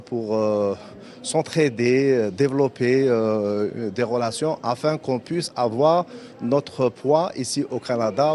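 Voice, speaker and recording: A man giving a spontaneous interview at a community event, halting and often rephrasing. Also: medium pitch, warm tone. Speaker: event participant being interviewed.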